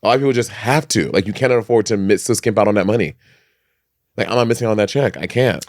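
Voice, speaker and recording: A man co-hosting a podcast in casual chat, animated and campy, who swears freely. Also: lowish voice, quick pace, no echo, clean studio sound. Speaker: podcast co-host.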